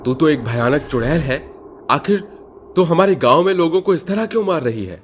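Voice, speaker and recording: Man voicing an anguished village headman, pitched up. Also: lively intonation, normal speed, background noise, natural voice. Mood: shocked accusation. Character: anguished village headman, father of a grown daughter. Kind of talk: animated horror story character voice. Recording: music behind the voice.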